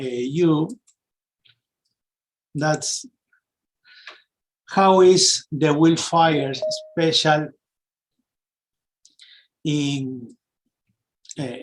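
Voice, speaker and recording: A man's voice: fairly high pitch, drawn-out words, long pauses, rough recording. A middle-aged man with an Argentine Spanish accent presents a webinar informally, searching for words in his second language. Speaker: sales and marketing executive, webinar presenter.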